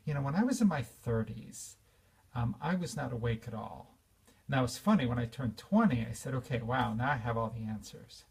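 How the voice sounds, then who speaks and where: A man's voice: mid-range voice, animated, normal speed, vocal fry, a little mellow, breathy edge, distant mic. A man in his fifties giving an earnest, reflective spiritual talk, with fillers and restarts. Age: 50s. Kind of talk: informal spiritual talk to an audience.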